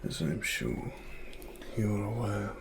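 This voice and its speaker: A British man performing a softly spoken ASMR roleplay as a grave cyberpunk investigator, breathy and partly whispered, with deliberate pauses. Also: lowish voice, expressive, background noise. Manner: slow, deliberate, hushed, with long pauses. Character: grave cyberpunk investigator uncovering corporate wrongdoing.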